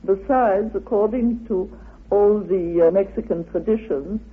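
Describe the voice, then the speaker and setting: Husky, halting speaker, phone-like sound. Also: medium pitch. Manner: deliberate, halting delivery. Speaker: interviewed researcher. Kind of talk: explanatory interview talk. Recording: narrow phone-like, lo-fi radio recording.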